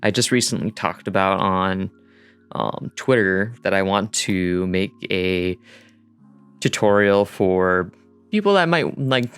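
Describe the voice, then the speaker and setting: Low, clear-voiced man rambling through a tutorial. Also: lively intonation, slow, fairly bright tone. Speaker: digital artist narrating a dev vlog. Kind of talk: casual rambling tutorial voice-over. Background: music.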